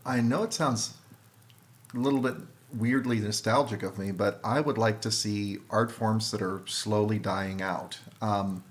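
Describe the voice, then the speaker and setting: A man in a casual group chat, explaining himself earnestly in measured phrases. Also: lowish voice, fairly even intonation.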